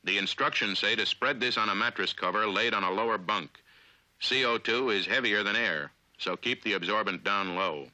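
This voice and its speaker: A man with an American accent narrates in the style of a 1950s training film. His lowish voice is very bright and forceful, with deliberate, authoritative phrasing. The sound is a dry period film soundtrack. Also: dry recording, low-quality recording.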